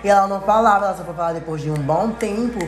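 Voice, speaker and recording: A woman's voice: medium pitch, very fast, soft background music. Young woman, chatty and giggly, in an echoey room. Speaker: guest and co-interviewer. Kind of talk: casual joking chat between friends.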